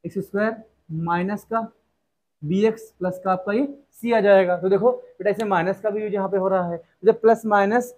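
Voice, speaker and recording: Young male maths teacher, high voice, keeps asking and answering his own questions. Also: fairly even intonation, normal speed, a little mellow. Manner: repetitive rhetorical questions, addresses students as "beta". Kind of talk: classroom lesson explanation.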